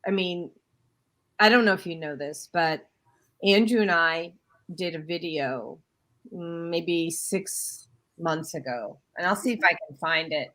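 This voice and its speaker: A woman's voice: fairly low pitch, unhurried, a bit bright. A woman hosting a talk radio show, chatting casually with her guest and rambling with frequent restarts. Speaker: host.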